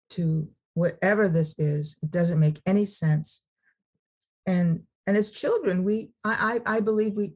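A middle-aged woman from Sacramento giving a personal testimony, talking haltingly with many restarts and repeated words. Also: lowish voice, darkish tone. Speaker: recovering alcoholic sharing her story.

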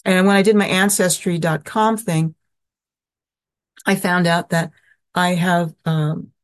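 Halting, reflective woman with a lowish voice. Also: steady tone. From American Southwest. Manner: halting and reflective, many restarts and fillers. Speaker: speaker sharing her recovery story. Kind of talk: personal storytelling.

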